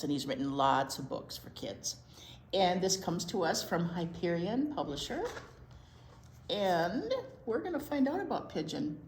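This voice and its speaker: A woman presenting storytime, chatting and reading aloud for young children. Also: on the low side. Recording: roomy echo, noticeable room noise.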